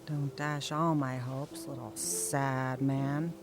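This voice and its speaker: An actress playing a dry, sarcastic, tired wife who often drops to a whisper. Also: female voice, very deep voice, flat delivery, takes it slowly, noisy background. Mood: wry. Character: wry, weary wife on a road trip. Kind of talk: audio drama acting.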